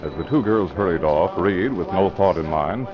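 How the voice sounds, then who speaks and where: A man's voice: low voice, animated, moderate pace, music in the background. A man narrating a vintage radio crime serial in scripted, storytelling style. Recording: muffled old radio recording.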